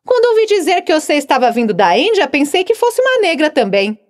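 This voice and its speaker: A woman's voice: high voice, big pitch swings, quick pace, pitched above the performer's own voice. A woman narrator voicing a plainspoken, good-humored young housemaid who talks frankly and cheerfully. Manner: frank, cheerful, matter-of-fact.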